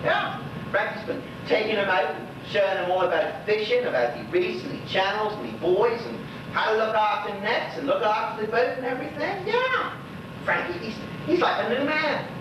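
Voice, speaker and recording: An actor playing a lonely, gossipy old widower in a stage monologue, with a Bermudian accent and a rambling, exclaiming way of talking to unseen listeners. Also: male voice, high voice, expressive, moderate pace. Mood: excited gossip. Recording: very echoey stage, distant mic, noisy, dull.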